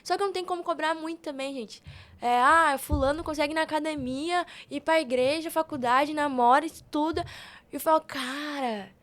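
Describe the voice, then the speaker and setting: Young woman, Brazilian Portuguese accent, higher-pitched voice, podcast guest, casual, slangy, emphatic. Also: brisk. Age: young adult. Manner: animated, slangy, emphatic with repetition. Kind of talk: casual, chatty interview answers.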